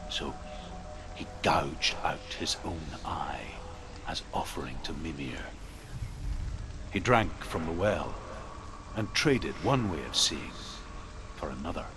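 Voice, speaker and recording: An actor playing a grave storyteller of Norse legends, speaking in a measured, portentous way in a video game. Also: male voice, rumbling low, lively intonation, background noise, heavily acted. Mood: solemn, grave. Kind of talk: solemn mythic storytelling.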